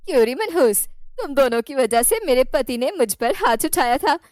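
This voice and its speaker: Woman playing a high-voiced, cruel scolding aunt. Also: animated, moderate pace, a little mellow, a little hiss or hum. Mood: menacing. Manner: threatening, imperious scolding. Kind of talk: Hindi cartoon moral story.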